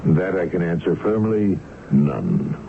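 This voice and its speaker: An actor playing a rational, inquisitive doctor in an old-time radio drama, speaking and narrating in a formal, measured, authoritative way. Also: male voice, deep voice, big pitch swings, creaky, a little hiss or hum, heavily acted. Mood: probing, firm. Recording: muffled vintage radio recording.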